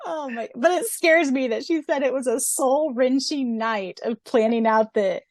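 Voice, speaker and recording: Excited fangirl woman co-hosting a podcast, kinda high voice, dead dry sound. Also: normal speed, no echo. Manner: giddy, gushing, laughs often. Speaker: podcast co-host. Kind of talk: excited fan podcast chat.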